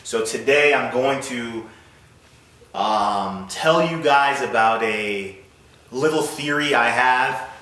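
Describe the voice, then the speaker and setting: A man's voice: medium pitch, takes it slowly, sharp tone. A man giving an informal, instructive talk to viewers as a music business owner, often checking in with short questions. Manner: instructive, punctuated with check-in questions like "okay?" and "all right?". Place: music studio. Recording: roomy echo, music in background.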